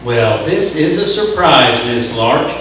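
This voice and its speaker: A man playing a prim, gossipy western town doctor with a wry, drawn-out delivery in a radio comedy. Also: medium pitch, lively intonation, a bit bright. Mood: wry disapproval. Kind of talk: old-time radio comedy western acting. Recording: very echoey room, distant mic, faint noise.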